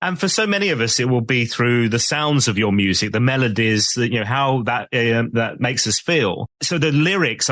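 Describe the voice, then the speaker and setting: A man hosting a podcast asks long, chatty and enthusiastic questions. His voice sits at a middling pitch and is recorded dry and close, with no room sound. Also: a bit bright, no echo. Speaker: podcast host. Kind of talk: podcast interview.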